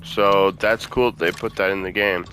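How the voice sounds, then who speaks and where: A man's voice: low voice, steady tone, moderate pace, a bit bright, a little hiss or hum, poor audio. A man hosting a gaming video, giving casual commentary as he plays. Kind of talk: casual game playthrough commentary.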